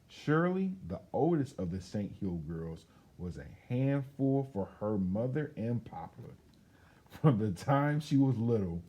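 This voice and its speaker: A man reading a children's storybook aloud as a storyteller, in an expressive, chatty way with casual asides. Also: fairly low pitch, breathy edge.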